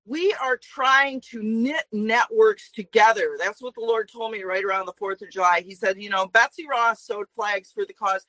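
A woman's voice: mid-range voice, normal speed, few pauses, pushed and bright, no echo, low-quality recording. A woman in her forties, a traveling minister, talking earnestly as a guest on a talk show with frequent fillers. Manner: earnest, talkative, many fillers.

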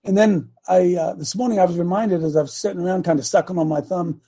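A man in his sixties preaching a sermon, fervent and repetitive, building to shouts. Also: high voice, fairly even intonation, quick pace. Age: 60s.

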